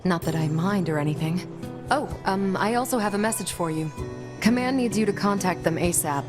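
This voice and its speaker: A woman's voice: fairly low pitch, expressive, brisk, music in the background, natural voice. A woman playing a wry, easygoing soldier comrade in a video game, talking informally with a teasing edge. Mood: wry, casual. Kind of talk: video game cutscene acting.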